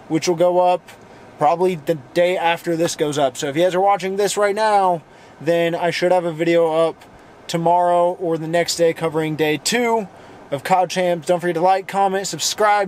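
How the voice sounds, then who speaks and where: Tired, hoarse young man vlogging. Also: sits high, a little mellow. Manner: rambling, filler-heavy self-talk. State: hoarse, losing voice. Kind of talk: casual vlog talk.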